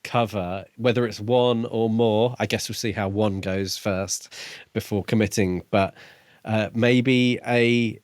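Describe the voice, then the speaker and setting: A man with a fairly low voice speaks in a dry, close recording. He co-hosts a book podcast in a casual, conversational style, qualifying his opinions gently as he goes. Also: fairly even intonation, takes it slowly, no room sound. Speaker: podcast co-host.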